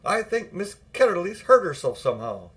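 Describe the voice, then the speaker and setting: A man voicing an excitable young housemaid in a storybook, polite and eager. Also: high voice, normal speed, voice pushed up. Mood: excited.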